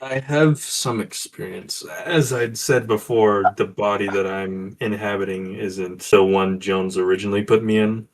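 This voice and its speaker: Man, lowish pitch, tabletop roleplayer, improvised in-character speech, deliberate and halting delivery. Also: steady tone, slight background noise. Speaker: player in a tabletop roleplaying game.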